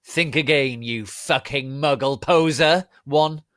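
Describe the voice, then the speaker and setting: Man acting a shouty, hot-headed boy wizard. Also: medium pitch, takes it slowly, no room sound, studio quality, pitched above the performer's own voice. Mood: furious. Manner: shouted, theatrical outbursts. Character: angry, hot-headed, foul-mouthed teenage boy wizard.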